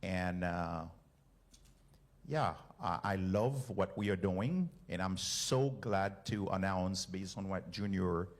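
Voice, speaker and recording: A man's voice: low-pitched, slow. A man, president of a ministry and an author, introducing himself on a church panel with a light joking touch.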